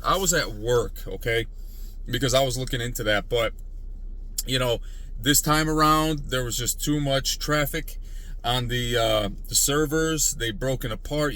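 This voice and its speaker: A man in his mid forties giving an off-the-cuff rant as a gaming YouTuber, emphatic and repetitive, with halting restarts. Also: mid-range voice. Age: mid 40s. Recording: loud vehicle and outdoor ambient noise.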